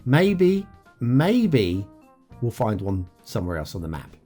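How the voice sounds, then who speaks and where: A chatty man narrates a review of a city-building map, his voice swinging lively up and down as he chuckles and trails off into ums. Faint music plays underneath him. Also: fairly low pitch, slow pace. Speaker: game map reviewer, show host. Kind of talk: casual gameplay commentary.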